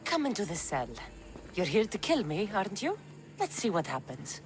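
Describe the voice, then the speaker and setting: A woman's voice: medium pitch, very animated, moderate pace, close to an everyday voice. A woman playing a sardonic ancient Greek warrior in a video game, blunt and challenging. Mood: defiant. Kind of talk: cinematic video game acting.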